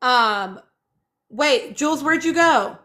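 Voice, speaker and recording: Woman live host w a high bright voice, really emphatic, repeats herself to drive it home. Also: lively intonation, slow. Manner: emphatic, repeats phrases for stress, urging listeners. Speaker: live-stream host reading and answering audience comments. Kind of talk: impassioned informal live commentary.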